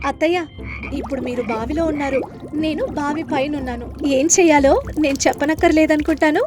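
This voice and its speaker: A woman's voice: sits high, fairly quick, over music, natural voice. A woman voicing a clever, teasing young daughter-in-law in a moral story, with a cheeky, taunting manner.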